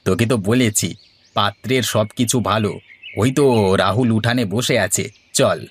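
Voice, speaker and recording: A man's voice: lowish voice, unhurried, breathy edge. A man playing a villager who acts as a persuasive matchmaker for his friend in a cartoon. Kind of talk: Bengali moral story cartoon dialogue.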